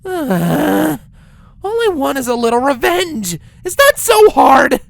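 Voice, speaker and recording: A woman's voice: high-pitched, creaky, dry recording, full character voice. A woman voicing an exasperated, scheming young female unicorn pony who mutters to herself in a storybook reading. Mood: weary, exasperated. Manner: flustered, muttering to herself, drawn-out expressive delivery. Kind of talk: acted storybook dialogue in a fan fiction reading.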